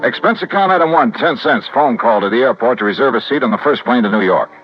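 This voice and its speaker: Male actor as tough American private investigator, clipped hard-boiled delivery, lively intonation, vintage radio noir, dull recording with music behind. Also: medium pitch, quick pace, lightly acted. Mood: brisk narrating. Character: tough, brisk freelance insurance investigator, grieving a friend. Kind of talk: 1950s radio noir acting with first-person narration.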